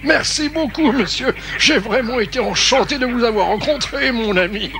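A man's voice: very high-pitched, moderate pace. A man voicing a dotty, polite old explorer professor in a French cartoon dub, fussy and stammering. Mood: delighted. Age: older.

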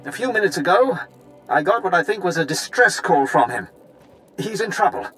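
A man's voice: sits high, lively intonation, moderate pace, bright, forceful tone, soft background music, low-quality recording. An actor playing a wry, blustering Imperial officer and anxious father in a video game, speaking in a dramatic, emphatic manner. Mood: apologetic, worried. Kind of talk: video game character dialogue.

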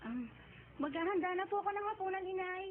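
An actress playing a dutiful, devout young Filipino daughter in a radio drama, earnest and respectful. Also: female voice, very high voice, fairly quick. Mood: meek. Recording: tinny narrow band, background noise.

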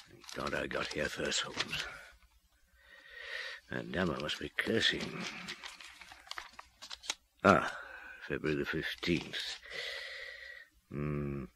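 A man acting as a brooding middle-aged police detective, narrating a radio drama in a measured, dramatic way. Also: rumbling low, vocal fry, bright, forceful tone, a little hiss or hum, heavily acted. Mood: intent, musing.

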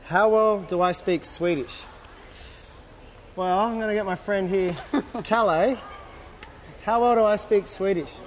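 A man's voice: high voice, measured pace, long pauses, a little hiss or hum. A young man casually reading out fan questions to camera outdoors by a harbour, joking as he goes. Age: young adult. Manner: cheerful, joking, reads questions aloud. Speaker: sailor hosting a fan Q&A. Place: outdoors at a boat tent by a harbour. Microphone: handheld camera close up.

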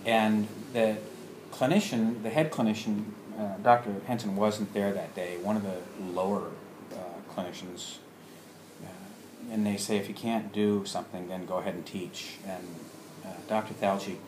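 A man telling a personal story in a halting way, acting out remembered exchanges as he goes. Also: fairly low pitch. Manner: halting, acts out remembered dialogue. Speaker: storyteller, alternative health practitioner. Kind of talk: personal anecdote told to listeners. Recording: roomy echo with background noise.

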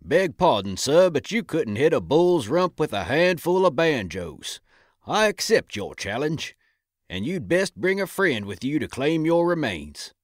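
Male actor as cantankerous Old West gunslinger: folksy, dry wit, animated, slightly high. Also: a little slow, no room sound. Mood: cocky, defiant. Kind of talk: audio drama acting.

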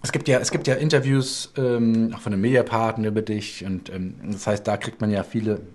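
A man in his forties hosting a casual interview over a video call from a bright home room, chatty and rambling, laughing often. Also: on the low side, steady tone, brisk. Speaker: podcast host. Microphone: video call, webcam close-up.

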